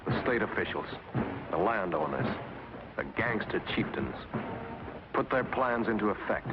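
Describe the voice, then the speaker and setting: Grave low American wartime film narrator, male. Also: noisy background. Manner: grave, oratorical, emphatic. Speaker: documentary narrator. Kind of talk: 1940s propaganda film narration, scripted. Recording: dull old 1940s film soundtrack.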